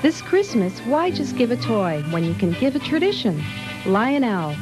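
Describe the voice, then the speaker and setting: Lively woman in a TV commercial, music behind. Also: on the high side, normal speed, low-quality recording. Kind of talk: television commercial voice-over.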